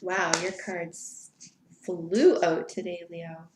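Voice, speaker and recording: A woman giving a weekly tarot reading in an unhurried, soft way, sounding stuffy from allergies. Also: on the low side, fairly even intonation, echoey room. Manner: soft, unhurried, spiritual invocations. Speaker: tarot reader.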